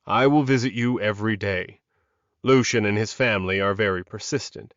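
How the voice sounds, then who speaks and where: A man voicing a calm, protective male werewolf alpha in an audiobook, measured and reassuring. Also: fairly low pitch, no room sound, lo-fi sound. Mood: gently persuasive.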